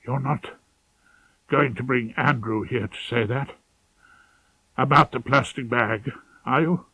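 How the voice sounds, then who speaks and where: A man voicing a resigned, hopeless woman, a mother, in an audiobook, quiet and hoarse. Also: mid-range voice, fairly even intonation, slow, no room sound, heavily acted. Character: subdued, hopeless, resigned mother confessing in court. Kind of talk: audiobook character voice.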